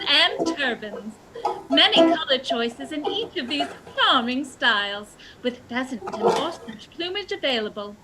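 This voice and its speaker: A woman's voice: sits high, heavily acted, low-quality recording. A woman acting as a self-assured, theatrical lady detective in a comic radio play, declaiming pompously. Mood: salesy announcing. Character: theatrical female amateur detective explaining a murder, self-assured. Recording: music behind the voice.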